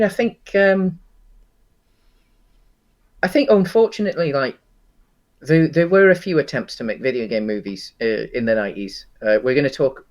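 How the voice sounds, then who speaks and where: A man in a casual film discussion, speaking at a middling pitch. He phrases things haltingly and qualifies his opinions as he works through them, as a co-host talking things over. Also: highs cut off. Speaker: co-host of a video game film discussion show.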